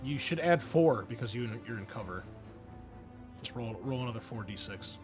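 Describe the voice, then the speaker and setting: A man acts as game master for a tabletop session, with a mid-range voice over soft background music. He explains the action casually, slipping in wry asides and the odd swear word. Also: mid-range voice, animated, normal speed. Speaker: game master and host of an actual-play show.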